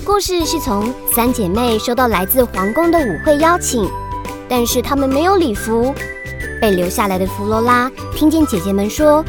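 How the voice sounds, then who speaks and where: A woman storyteller narrates a children's tale. The voice is high, delivered as scripted narration over clear children's music. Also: expressive, normal speed.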